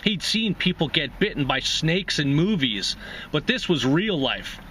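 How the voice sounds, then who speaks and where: A man reads a short story aloud as host of a reading series. His voice is bright, forceful and slightly high, with faint noise in the background. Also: very animated, unhurried.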